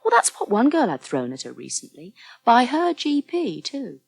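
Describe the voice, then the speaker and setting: An actress playing a frank, reassuring married counsellor in a naturalistic radio soap, talking conversationally. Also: female voice, on the high side, huge pitch swings, normal speed, gravelly, a little mellow, breathy edge, exaggerated voice. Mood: frank, informative.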